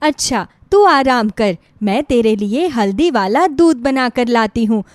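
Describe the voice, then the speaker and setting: A woman's voice: on the high side, animated, a little slow, dry recording. A woman voicing a caring older mother-in-law in a moral story, fussing and insistent in a motherly way. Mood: tender.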